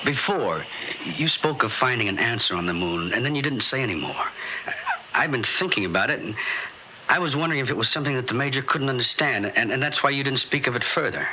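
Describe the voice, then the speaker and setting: Animated, earnest young spaceship crewman. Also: mid-range voice, a little fast. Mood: hesitant, troubled. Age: young adult. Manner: hesitant, deferential. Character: earnest, respectful young male atomic-age crewman. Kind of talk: 1960s radio drama acting. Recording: hissy, dull old radio recording.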